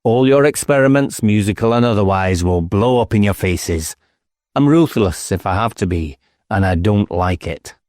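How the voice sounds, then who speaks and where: A man's voice: on the low side, animated, moderate pace, darkish tone, dry recording, studio quality, lightly acted. A man voicing a dogged, blunt police inspector in an audiobook, ruthless and insistent, as if always interrogating. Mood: threatening. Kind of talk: audiobook character acting.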